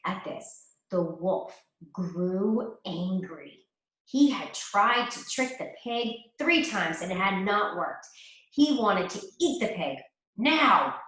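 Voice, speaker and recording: A woman teacher reading a fairy tale aloud to children, slowly and expressively. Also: mid-range voice, expressive, fairly bright tone. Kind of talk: read-aloud storytelling. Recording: echoey room.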